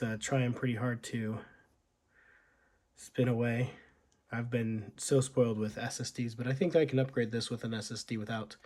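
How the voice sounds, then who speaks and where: Hoarse man casually walking through a laptop. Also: medium pitch, darkish tone. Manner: thinking aloud, halting, many fillers. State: hoarse from illness. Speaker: tech video host. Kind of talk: casual unboxing walkthrough.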